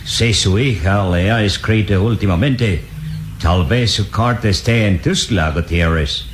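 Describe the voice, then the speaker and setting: An actor playing a greedy, scheming expedition captain in a radio serial, mocking and falsely deferential. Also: male voice, fairly low pitch, unhurried, background noise, close to an everyday voice. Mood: falsely friendly. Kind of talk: old radio serial acting.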